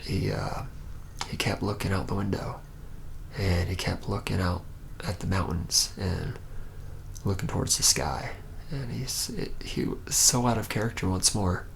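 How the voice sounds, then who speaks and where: A reluctant young vampire acted out by a man murmurs through an ASMR roleplay monologue. His voice is low and crackles heavily with vocal fry, halting and awkward, often sinking near a whisper. A faint noise sits behind him. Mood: hesitant, uneasy recollection. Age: young adult.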